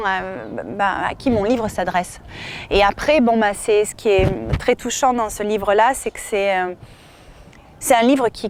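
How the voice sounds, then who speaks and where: A woman from southern France, a novelist, telling stories in an interview with lively fillers and restarts. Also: mid-range voice, a little hiss or hum. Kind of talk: casual interview answers. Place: outdoor festival.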